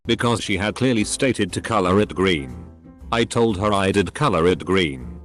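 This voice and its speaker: A man's voice: deep voice, expressive, moderate pace, a bit bright. A man narrating a string of short posts in a scripted reading, saying letters and punctuation out literally. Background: faint background music.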